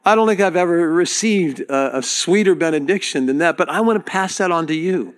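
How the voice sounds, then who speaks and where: A man preaching to his congregation as their pastor, speaking earnestly and directly with halting restarts. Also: fairly high pitch. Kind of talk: sermon.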